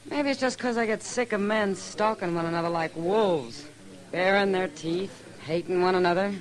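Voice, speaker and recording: A man's voice: high-pitched, moderate pace, lightly acted, low-quality recording. An American woman acting a jaded, sardonic frontier saloon woman in an old-time radio western. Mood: fed up.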